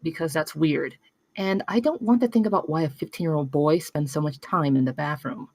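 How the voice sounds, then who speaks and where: A woman's voice: low-pitched, a little fast, darkish tone, dry recording. A woman at a script table read playing a dry, pedantic thirteen-year-old girl. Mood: dry, exasperated.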